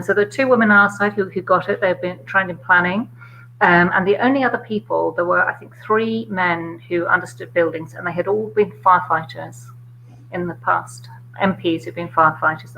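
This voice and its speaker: An older woman with a London middle-class accent, chatting casually about politics with many fillers and quick laughs. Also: fairly low pitch, fairly even intonation, gravelly, pushed and bright, a little hiss or hum, rough recording. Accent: London middle-class English. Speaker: guest, former MP.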